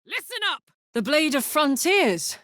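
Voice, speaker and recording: A woman voicing a brash, warm-hearted and rowdy female adventurer in a video game, with blunt, informal and expressive delivery. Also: high-pitched, measured pace, no room sound, studio quality, full character voice. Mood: excited, rowdy.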